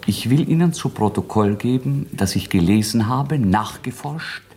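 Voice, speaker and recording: An actor in a German radio drama playing a brooding young murder suspect with a theatrical, mocking delivery that shifts between hushed and explosive. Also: male voice, medium pitch, animated, normal speed, lightly acted. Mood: taunting, provocative. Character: feverish, taunting young male murder suspect, brooding and mercurial.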